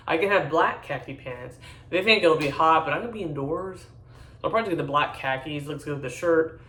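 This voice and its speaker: Deep-voiced woman rambling bluntly. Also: fairly bright tone, far from the mic. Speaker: vlogger. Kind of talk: casual chat to camera. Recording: echoey room, voice a bit off-mic.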